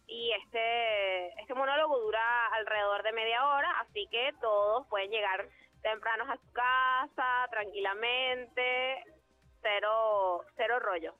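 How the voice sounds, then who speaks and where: A young woman talks earnestly and thoughtfully, often pausing to gather her words. Her fairly high voice comes through a tinny phone line, with faint music underneath. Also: no room sound. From Venezuela. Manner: earnest, thoughtful, with many hesitations. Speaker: actress, interview guest. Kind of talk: phone interview.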